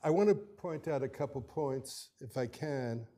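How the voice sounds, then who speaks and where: A man arguing his case before an appellate court, speaking haltingly with many restarts and fillers. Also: mid-range voice, mellow. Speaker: attorney arguing his own appeal. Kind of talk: courtroom oral argument.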